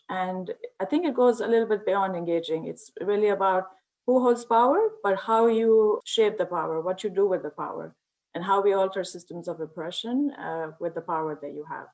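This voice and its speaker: A woman from Pakistan, a senior policy expert, speaking in an earnest, measured way with some fillers. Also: medium pitch, a little mellow, poor audio. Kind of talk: conversational self-introduction.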